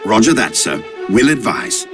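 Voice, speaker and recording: A man's voice: fairly low pitch, very animated, normal speed, over music. A man playing a dutiful military underling reporting to his boss in a video game. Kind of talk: video game cutscene acting.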